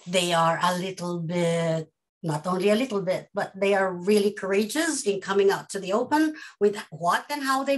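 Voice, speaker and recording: A middle-aged woman hosting a casual interview on a video call from home, playful and chatty, talking around her points. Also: mid-range voice. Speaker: host. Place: home room.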